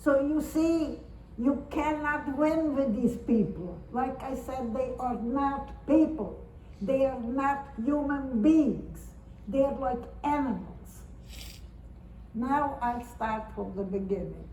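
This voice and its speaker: A woman in her nineties from Romania, speaking in an earnest, halting and repetitive way as she gives testimony to an audience. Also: high-pitched, dark tone, slight background noise. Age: 90s. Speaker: Holocaust survivor giving testimony. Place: synagogue or community hall. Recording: roomy hall recording from far off.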